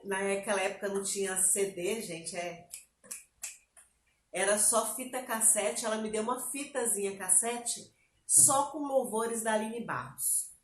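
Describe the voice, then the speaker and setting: A woman's voice: on the high side, roomy sound, a little hiss or hum. A Brazilian woman with a Bahian accent, telling her conversion story in a casual chat and acting out her own past words. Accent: Bahian Brazilian Portuguese. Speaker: interview guest. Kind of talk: personal testimony, casual chat.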